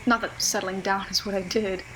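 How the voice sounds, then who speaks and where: A woman's voice: mid-range voice, steady tone, fairly quick, natural voice. A young woman playing a stubborn, regretful survivor who confides reflectively in an audio drama monologue. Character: regretful, stubborn young woman missing someone she lost. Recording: clearly noisy, ambient background noise.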